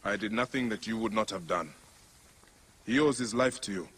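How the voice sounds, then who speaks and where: A man's voice: fairly low pitch, normal speed, slight background noise, natural voice. A man playing a stoic, earnest warrior protector of ancient Egypt in a video game, speaking formally. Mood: earnest resolve. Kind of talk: video game cutscene acting. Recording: loud music behind the voice.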